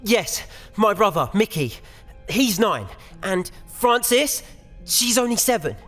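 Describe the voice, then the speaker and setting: An earnest, urgent young captive, played by a woman, determined and desperate, with lively swings of pitch. The audio drama voice rings in a long hall-like reverb over faint music. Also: slow pace, exaggerated voice. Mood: earnest, worried.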